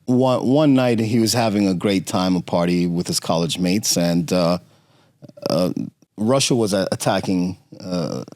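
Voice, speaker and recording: Middle-aged man guest from Romania, low voice, halting storyteller, dead-dry mic. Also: darkish tone, no room sound. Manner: halting, many fillers and restarts. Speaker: podcast guest. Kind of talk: conversational interview storytelling.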